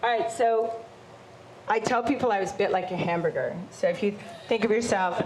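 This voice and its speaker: A woman with a big voice telling her story to a live audience, animated and gesturing as she talks. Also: mid-range voice, moderate pace, a little hiss or hum, poor audio. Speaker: shark attack survivor and guest speaker. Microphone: handheld microphone.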